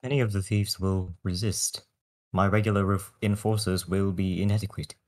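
A man's voice: low voice, fairly even intonation, normal speed, natural voice. A man playing a shifty, guarded chief enforcer with a dry manner in a screenplay table read.

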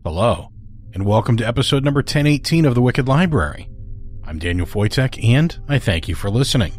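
A man's voice: medium pitch, expressive, fairly quick, a little mellow. A man hosting a podcast, reading a scripted introduction. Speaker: podcast host.

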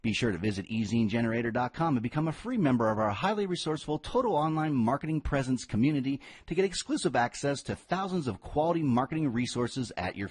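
Male podcast host for a show open: lively, husky, dry sound over soft music. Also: medium pitch, no echo. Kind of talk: scripted show intro.

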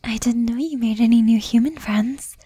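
A woman voicing a jealous, possessive young demon girlfriend in a breathy, intimate whisper with pointed pauses, for an ASMR roleplay. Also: fairly high pitch, mellow, dry recording. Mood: suspicious, probing.

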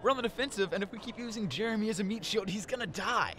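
A man voicing an anxious, high-strung young sports player in a comedic anime parody dub. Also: rapid-fire, sharp tone, a little hiss or hum. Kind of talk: comedic fan-dub voice acting.

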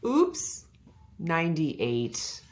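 Bragging storybook dinosaur kids, acted by a woman, playful, wildly varied pitch, roomy. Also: huge pitch swings, extremely slow, darkish tone, heavily acted. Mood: showing off. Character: show-off dinosaur kids bragging about their acts.

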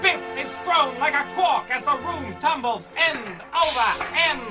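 A woman's voice: high-pitched, lively intonation, unhurried, over music. A woman playing a melodramatic announcer, narrating an old-time radio cliffhanger serial. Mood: grandly suspenseful.

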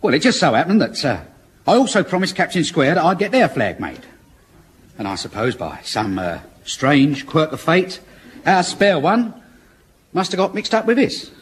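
An actor playing a glib, cheeky London wheeler-dealer private in a radio sitcom, full of feigned innocence. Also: male voice, fairly high pitch, huge pitch swings, moderate pace. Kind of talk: 1970s British radio sitcom acting. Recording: old radio comedy with background hiss.

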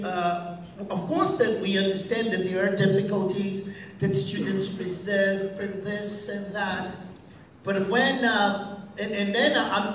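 Brief: Brazilian man hosting on stage, halting and full of restarts, heard from the back of a big echoey hall. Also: high-pitched, far from the mic, slight background noise. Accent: Brazilian Portuguese. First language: Portuguese. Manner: hesitant, many restarts and fillers. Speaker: host of a teacher training event. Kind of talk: on-stage talk. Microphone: far audience camera.

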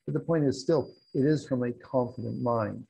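A man's voice: mid-range voice, normal speed, vocal fry, mellow, breathy edge, rough recording. An older man teaching a Buddhist class from a room at home, explaining at length with restarts and asides, on a lapel mic. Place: room at home with wall hangings.

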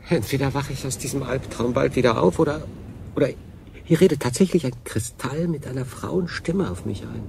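A man acting a feverish, bewildered castaway sailor in a radio play, halting and brooding. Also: medium pitch, gravelly, dark tone, a little hiss or hum, close to an everyday voice. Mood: incredulous.

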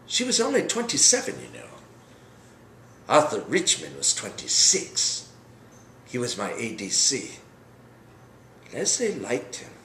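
A man playing a remorseful, bitter old general in a halting, brooding dramatic stage monologue. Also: fairly high pitch, a bit bright, heavily acted. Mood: wistful. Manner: halting, brooding, swings from murmur to outburst. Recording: echoey room with background noise.

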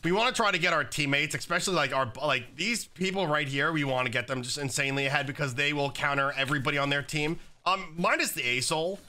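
A young man with a slightly raspy voice from being sick, chatting casually while commenting on his own gameplay at a desk setup. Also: fairly high pitch, fast, hardly any pauses, pushed and bright. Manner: casual, chatty, many fillers like "like" and "um". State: slightly raspy, recovering from illness. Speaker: gaming YouTuber. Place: home gaming setup, indoors.

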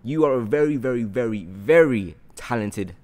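A man's voice: mid-range voice, animated, normal speed, darkish tone. A British man in his twenties hosting a friendly, casual interview, effusive and repeating words for emphasis. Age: 20s. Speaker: podcast host and interviewer. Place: bright loft-style room indoors, on a sofa. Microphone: handheld microphone.

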